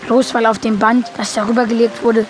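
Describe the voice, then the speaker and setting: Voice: mid-range voice, flat delivery, fairly quick, faint music behind, natural voice. A young performer playing a naive boy who narrates a German film audio drama in a simple, matter-of-fact way.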